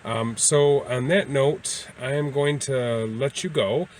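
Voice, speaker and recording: A man's voice: medium pitch, slow, a little hiss or hum. A man talking casually as a travel vlogger, in a rambling, hesitant way. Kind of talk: casual vlog talk.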